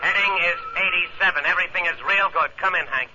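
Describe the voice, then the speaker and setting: An actor playing a breezy, cocky young test pilot in a 1950s radio drama, mixing crisp procedure with jaunty slang. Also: male voice, high-pitched, fast, over loud music, natural voice. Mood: focused. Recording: noisy, dull 1950 radio drama recording.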